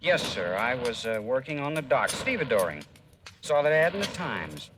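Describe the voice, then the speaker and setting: A man plays an eager, folksy young American drifter in old-time radio drama. He speaks plainly and respectfully, with animated swings of pitch. The old recording is noisy, with clicks. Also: normal speed, natural voice. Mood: earnest, pleading. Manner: eager, plain-spoken, deferential. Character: earnest, folksy young male drifter pleading his identity.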